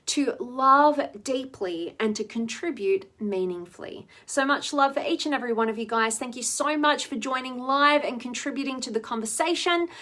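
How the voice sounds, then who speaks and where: A woman, slightly hoarse, hosting a live stream where she reflects on relationships, greets viewers and often ends her points with "right?". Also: high voice, normal speed, few pauses, a bit bright. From Australia. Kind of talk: live-stream talk reading viewer comments.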